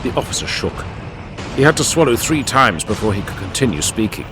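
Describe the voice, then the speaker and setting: A man's voice: fairly low pitch, sing-song, fairly quick, a bit bright. A man reading dramatic scripted narration as an audiobook narrator. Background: music and added sound effects.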